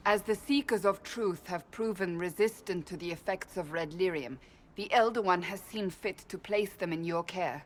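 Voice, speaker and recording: A woman's voice: on the low side, moderate pace, lightly acted. A woman playing a stern, righteous warrior in a video game, blunt, serious and formal in her delivery. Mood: reading formally. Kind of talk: video game character dialogue.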